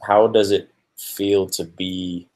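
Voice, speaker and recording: A man who is the interviewer asks long, winding questions that stop and restart often. His voice sits very low. He sounds casual and unhurried. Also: fairly even intonation, a little mellow, slight background noise, low-quality recording. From Hawaii.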